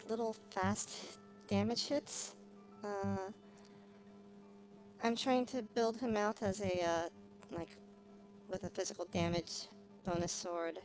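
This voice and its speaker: A woman streamer giving casual live game commentary, thinking aloud with frequent pauses. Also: mid-range voice, poor audio. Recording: music behind the voice.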